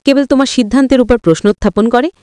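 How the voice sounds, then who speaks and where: Woman acting a brash, high-voiced young new wife. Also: very fast, darkish tone, clean tone, dry recording, clean studio sound, close to an everyday voice. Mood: bossy, mocking. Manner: bossy, mocking, self-satisfied.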